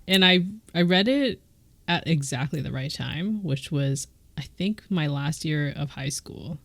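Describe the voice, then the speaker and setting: A woman's voice: low-pitched, expressive, measured pace, vocal fry, a bit bright, no echo. A woman co-hosting a podcast in casual, conversational chat. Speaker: podcast co-host.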